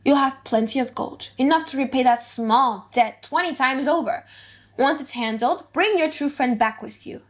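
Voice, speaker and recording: A woman playing a high-voiced, decisive young noblewoman. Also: normal speed, natural voice. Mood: brisk, loving. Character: loving, wealthy young noblewoman, generous and decisive. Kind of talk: dramatic audiobook reading of a play.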